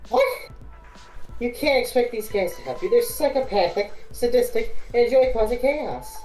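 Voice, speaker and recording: A woman's voice: on the high side, lively intonation, normal speed, dark tone, echoey room, soft background music, lightly acted, rough recording. A woman playing an exasperated, bossy young princess in a fantasy story reading, incredulous and scolding. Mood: alarmed. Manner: incredulous, scolding, swooping emphasis.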